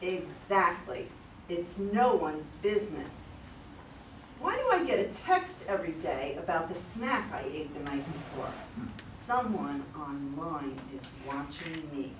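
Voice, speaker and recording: A woman's voice: low voice, sing-song, measured pace. A woman playing an anxious, indignant wife who believes she is watched online, in a staged play reading. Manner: defensive, exclamatory. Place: room at a live readers' theater reading. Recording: echoey room, distant mic, faint noise.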